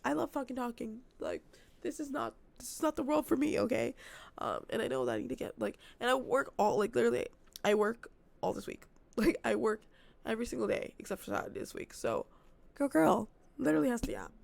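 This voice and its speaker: Hoarse, gravelly young woman rambling. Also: fairly high pitch, sing-song, fairly quick, no room sound. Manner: rambling, filler-heavy, self-described yappy. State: losing her voice, hoarse. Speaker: vlogger. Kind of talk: casual unscripted vlog chat. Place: apartment.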